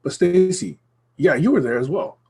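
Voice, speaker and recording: A hoarse man hosting a casual group video call, speaking low and clearing his throat often. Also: lively intonation, normal speed, warm tone. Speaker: discussion group host. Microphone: computer microphone on a video call.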